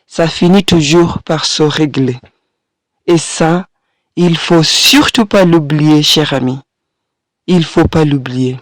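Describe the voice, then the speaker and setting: Hoarse woman in her 30s doing a solo podcast, takes it slow, soft encouraging tone, dry close sound. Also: low-pitched, no echo. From Kenya. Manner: slow, gentle, encouraging, with hesitant pauses. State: hoarse from a cold. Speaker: podcast host and French teacher. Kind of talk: solo podcast monologue addressing the listener. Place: small home studio. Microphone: studio microphone.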